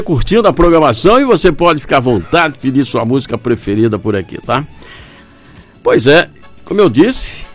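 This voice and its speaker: Male Brazilian radio host, slightly high voice, chatty and informal, music behind him. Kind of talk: casual radio interview. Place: radio studio.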